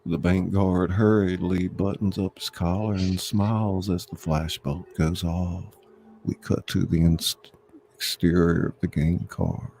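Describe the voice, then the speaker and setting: A man's voice: level tone, measured pace, a little mellow. A man hosting a casual livestream, reading a script aloud as narrator. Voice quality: low, gravelly. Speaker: host and script reader.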